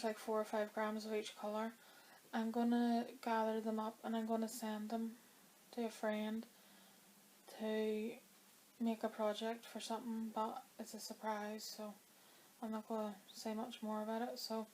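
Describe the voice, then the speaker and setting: Woman knitting vlogger with a slightly high, flat delivery. Dry throat from a cold. Chatty and meandering. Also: a little hiss or hum, poor audio. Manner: chatty and rambling, with many fillers. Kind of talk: casual chat to camera.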